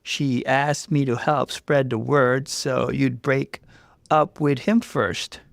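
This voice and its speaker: A nervous young female co-worker in a narrated story, acted out by a man. The delivery is remorseful and apologetic, raised in pitch over a plain narrating voice. The recording is very dry. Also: steady tone, slow pace, vocal fry, dry recording. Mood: confessing.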